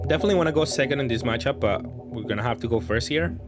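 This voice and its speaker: A man's voice: medium pitch, lively intonation, rapid-fire. A man giving casual, improvised commentary on his own card game, thinking aloud hesitantly and often trailing off. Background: background music.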